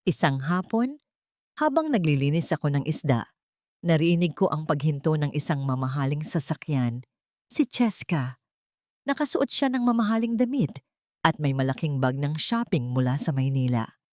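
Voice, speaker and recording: Lowish-voiced woman narrating a drama. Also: sing-song, normal speed, a little mellow, no echo, studio quality. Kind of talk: scripted first-person narration, sentimental melodrama.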